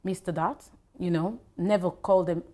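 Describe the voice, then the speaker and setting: A woman's voice: lowish voice, fairly even intonation, normal speed, warm tone. A middle-aged Nigerian woman, a native Yoruba speaker, answering interview questions conversationally in an emphatic, repetitive way. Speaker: talk show guest, investment banker.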